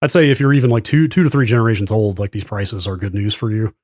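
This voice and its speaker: A man's voice: lowish voice, fairly even intonation, fast talker, a little mellow, no room sound, studio quality. A man co-hosting a podcast, explaining things casually and in detail with careful qualifications. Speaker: podcast host. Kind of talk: casual tech news discussion.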